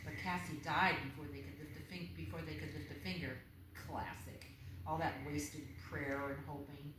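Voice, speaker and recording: A woman's voice: deep voice, steady tone, normal speed, natural voice. A woman playing a taunting, smug little demon punk girl, mocking and flippant, in a screenplay table read. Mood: cruel taunting. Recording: very echoey room, clear background noise.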